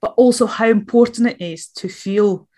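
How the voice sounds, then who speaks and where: A woman's voice: mid-range voice, normal speed, a little mellow. Woman with a Scottish accent, reflective. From Latvia. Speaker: interviewer. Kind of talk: conversational interview.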